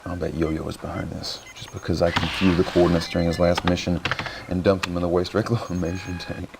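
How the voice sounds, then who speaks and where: A man's voice: low-pitched, little pitch movement, fairly quick, creaky. A man playing a cocky, harried young resistance radio operator in a game cutscene, with clipped, urgent technical patter. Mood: grumbling. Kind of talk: video game cutscene acting. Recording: noisy radio-room sound, clicks and whirring.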